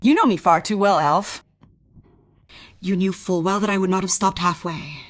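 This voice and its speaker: An actress playing a wronged, accusing wife in a crime radio play, speaking in a halting, bitter, insistent way. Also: female voice, lowish voice, dry recording, close to an everyday voice. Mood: accusing, anguished. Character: wronged, accusing wife confronting a treacherous family friend.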